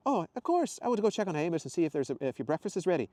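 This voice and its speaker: A friendly Scottish woman as a man puts her on for a story, chatty and welcoming. The voice is lifted high and moves around in pitch, with a Scottish accent. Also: huge pitch swings, fast, dark tone, dry recording. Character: friendly, softer-spoken red-haired Scottish wife.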